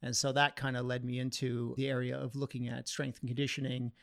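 A man's voice: mid-range voice, level tone, fairly quick, gravelly, no echo, studio quality. A Canadian man in his forties, a physiotherapist, telling his story steadily and plainly as a podcast guest. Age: 40s. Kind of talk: interview storytelling.

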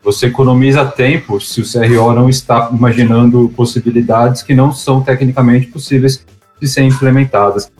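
Male guest, relaxed, friendly explainer at an average pitch. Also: brisk, soft background music. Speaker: podcast guest, digital specialist. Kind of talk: casual podcast chat.

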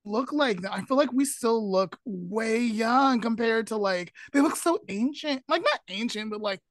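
A woman's voice: a little high, lively intonation, moderate pace, no room sound, studio quality. A woman in her thirties co-hosting a podcast in casual chat, talking loosely with lots of "like" and "um" fillers. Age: 30s. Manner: chatty, heavy use of "like" and "um" fillers. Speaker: podcast co-host.

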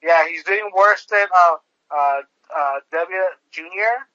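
Man, high-pitched co-host, halting delivery with stacked fillers, dry lo-fi sound. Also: pushed and bright, no room sound. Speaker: podcast co-host. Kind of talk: casual political chat.

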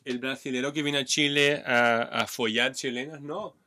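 A man's voice: mid-range voice, fairly even intonation, normal speed, pushed and bright. Brazilian man telling frank stories. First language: Portuguese. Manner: frank storytelling, heavy Chilean slang. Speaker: podcast co-host. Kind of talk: casual chat.

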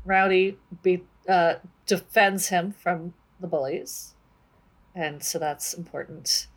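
Slow-paced, lower-pitched woman discussing books. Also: steady tone. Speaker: book discussion co-host. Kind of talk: casual literary discussion.

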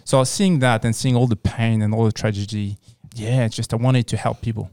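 A man from France with a French accent, answering casually as an interview guest in a halting, repetitive way. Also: medium pitch, a little mellow, dry recording, studio quality. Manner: halting, repeats words and phrases.

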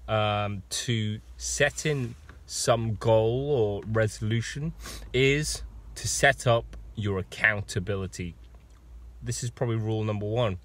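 A hoarse man with a husky voice and a sore throat, a fitness coach talking casually to viewers with many pauses and ums. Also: medium pitch, fairly bright tone. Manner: rambling, with many ums and restarts. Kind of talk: casual live video talk.

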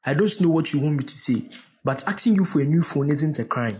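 A man with a Ghanaian accent and a husky voice playing a defensive, resentful teenage son from a poor home in an audio drama. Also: fairly high pitch, lively intonation, brisk, mellow. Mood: sullen, defiant. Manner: sullen, defensive.

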